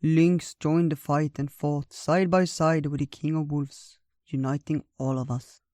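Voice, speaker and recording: A storyteller voicing a wise, gentle old male bear in a theatrical, drawn-out way for a children's tale. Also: mid-range voice, level tone, no echo, clean studio sound, close to an everyday voice. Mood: solemn, reminiscing. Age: older.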